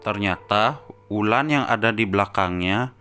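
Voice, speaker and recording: Low male narrator over prominent music. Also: measured pace, bright, forceful tone. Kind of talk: scripted narration.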